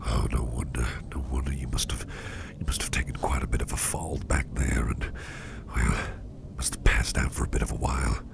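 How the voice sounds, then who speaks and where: Man as a very deep, gravelly whispering caring boss. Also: flat delivery, fairly quick. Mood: soothing, concerned. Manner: soothing, hesitant, repeating reassurances. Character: caring, protective male boss, gentlemanly businessman, soft-spoken and doting. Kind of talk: ASMR roleplay, intimate personal attention.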